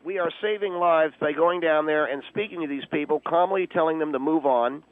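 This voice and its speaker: A man's voice: a little high, fairly quick, no room sound, pitched above the performer's own voice, close to an everyday voice. Man playing a pompous dim vigilante, muffled radio sound. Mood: self-important. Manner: defensive, self-important, bumbling. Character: pompous, dim male wannabe vigilante and ex-store guard. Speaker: radio show guest. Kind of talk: radio comedy call-in argument. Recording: narrow-band radio broadcast.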